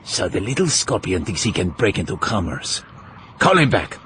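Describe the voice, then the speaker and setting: An actor playing a ruthless, commanding older Bolivian general turned dictator in an audiobook drama. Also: male voice, deep voice, big pitch swings, moderate pace, a bit bright, a little hiss or hum. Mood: greedy menace. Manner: commanding, imperious.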